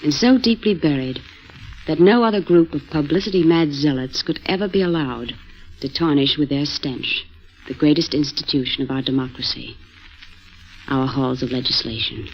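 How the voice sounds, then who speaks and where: A woman's voice: deep voice, normal speed. Woman reading an editorial on 40s radio, solemn and declamatory, dull old recording. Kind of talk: dramatic reading of a newspaper editorial, 1940s radio. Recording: muffled vintage 1940s radio recording.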